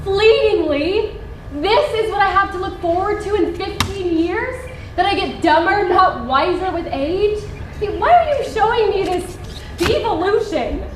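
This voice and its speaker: A scornful, exasperated young woman played by a stage actress. Her voice is very high and animated, with a deliberate, emphatic pace. It comes from far off in a noisy, echoey theatre. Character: scornful, feisty younger self of heroine. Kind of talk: live stage play acting. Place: theatre stage, distant microphone.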